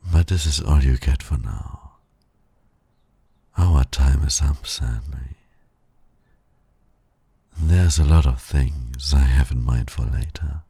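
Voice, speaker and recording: Casting: male actor for a suave villain lover in ASMR roleplay, extremely low, breathy voice, flirtatious and teasing. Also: dark tone, no echo, heavily acted. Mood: sly, teasing. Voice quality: gravelly, breathy. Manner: flirtatious, slow, purring, often dropping to a whisper. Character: suave, teasing male supervillain courting his hero nemesis.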